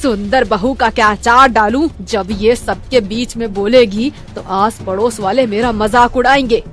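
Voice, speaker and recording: A woman voicing a sharp-tongued, sarcastic middle-aged mother-in-law in a cartoon story. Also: fairly high pitch, a little slow, soft background music, close to an everyday voice. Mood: scolding. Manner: scolding, dramatic, sarcastic. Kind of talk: cartoon voice acting.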